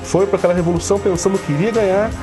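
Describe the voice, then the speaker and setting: A man with a Brazilian accent and a fairly high voice speaks haltingly in a documentary interview, searching for words. Music is clearly heard behind him. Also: fairly even intonation.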